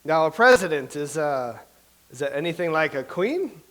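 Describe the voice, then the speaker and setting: A self-pitying playwright out of an older age, played by a man, mourns his failures. His voice sits high and swoops up and down. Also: big pitch swings, normal speed, fairly bright tone. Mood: mopey. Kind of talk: staged play reading.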